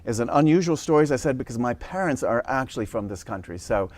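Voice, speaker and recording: A man raised in Japan, a professor being interviewed in a television studio, who speaks thoughtfully with frequent pauses and hesitations. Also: medium pitch, expressive, a little mellow. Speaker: guest, university professor. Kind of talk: interview conversation.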